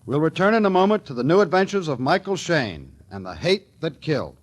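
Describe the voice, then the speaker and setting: A man's voice: fairly high pitch, very animated, brisk. An American man announcing as director of a 1940s radio show, in a dramatic style. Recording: vintage radio recording with loud music. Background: loud music.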